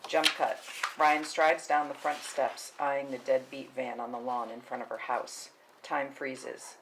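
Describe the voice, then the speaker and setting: A woman's voice: very deep voice, flat delivery, unhurried, fairly bright tone, a little hiss or hum, rough recording. A woman narrating a film script's stage directions at a table read.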